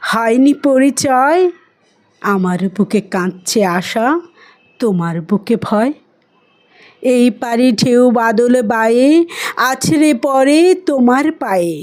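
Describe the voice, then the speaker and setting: Female poetry reciter, higher register, very unhurried, emotive and expressive phrasing.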